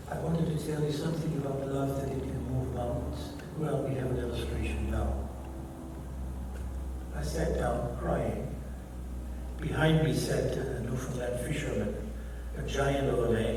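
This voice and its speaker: An older man with a German accent tells his story slowly, quoting other people and correcting himself as he goes. His voice carries through a large hall with a long, booming echo. The mic sits well away from him, and steady noise runs under the speech. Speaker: keynote speaker. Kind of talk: personal testimony lecture. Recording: echoey hall, mic at a distance, background noise.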